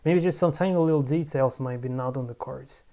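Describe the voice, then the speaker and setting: Mellow-voiced learner drilling pronunciation. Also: medium pitch, fairly quick. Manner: repeats words over and over, self-correcting; muttering to self, bursts of excitement. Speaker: Korean language learner. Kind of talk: casual pronunciation practice vlog.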